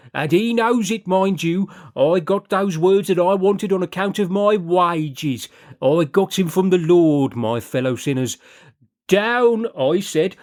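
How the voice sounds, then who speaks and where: A man putting on a high, boastful old chapel preacher. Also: a little mellow. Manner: rambling, pleased with himself, chuckling. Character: older male lay preacher, boastful and self-satisfied. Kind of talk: audiobook character voice.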